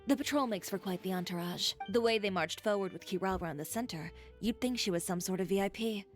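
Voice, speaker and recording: An actress playing a blunt, self-assured young woman who designs fashion, in a brisk, matter-of-fact manner for a video game. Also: medium pitch, faint music behind, natural voice. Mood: dry, composed. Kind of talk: scripted video game voice acting.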